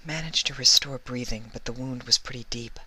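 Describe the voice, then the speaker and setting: A man voicing a shy, gentle young female pegasus nurse from a cartoon fan story, soft and breathy with hesitant, timid speech. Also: mid-range voice, a little fast, dry recording, voice pushed up. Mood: gentle concern. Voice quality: soft, breathy, half-whispered.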